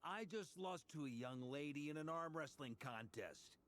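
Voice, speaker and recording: A man's voice: on the high side, expressive, normal speed, a bit bright, a little hiss or hum. A man playing a hearty, easygoing adventurer in an anime-style video game, with a blunt, informal, joking manner. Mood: rueful.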